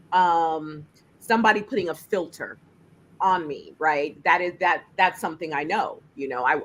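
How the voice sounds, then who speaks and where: A woman filmmaker with a deep voice and lots of bass, speaking emphatically in a panel discussion over a video call. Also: a little hiss or hum. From United States. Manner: emphatic, animated, laughs while speaking. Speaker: filmmaker and juror.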